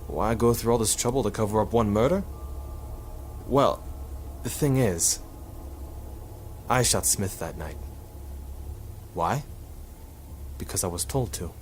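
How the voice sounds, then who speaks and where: A man playing a coolly proud 1950s hitman in a noir radio drama, confiding in a deliberate, wry way. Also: fairly low pitch, slight background noise, natural voice. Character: coolly proud 1950s hitman photographer narrating his confession.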